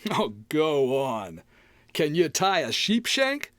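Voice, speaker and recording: A man's voice: a little high, wildly swooping pitch, slow, dry recording, exaggerated voice. A man playing a grumpy, exasperated homeowner with a folksy, exaggerated delivery in old-time radio comedy style. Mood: scoffing challenge. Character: grumpy homeowner tidying his cluttered closet, testy.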